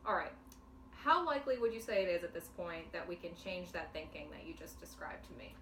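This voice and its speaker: A woman's voice: fairly low pitch, brisk. Patient woman clinician in an echoey room. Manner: calm, patient, clearly explaining. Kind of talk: demonstration counseling session. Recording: echoey room, mic at a distance, faint noise.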